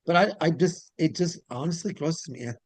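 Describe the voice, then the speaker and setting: A man's voice: a little high, a little fast, breathy edge, no room sound. A man hosting a casual interview, talking in a rambling, repetitive way. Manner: rambling, repeats phrases, griping about pet peeves. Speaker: interview show host.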